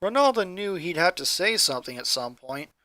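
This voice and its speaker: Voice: medium pitch, sing-song, a little fast, sharp tone, dry recording. A person reading a fan fiction story aloud as scripted narration. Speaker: story reader.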